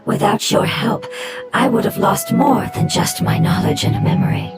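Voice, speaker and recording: A woman voicing an ancient, sorrowful non-human being in a video game, calm, solemn and formal. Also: normal speed, close to an everyday voice. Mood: wistful. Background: game music.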